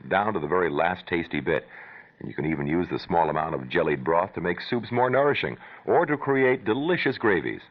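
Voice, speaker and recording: A man reading a scripted radio commercial in an upbeat selling voice. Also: low voice. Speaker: radio ad announcer. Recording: dull old radio broadcast.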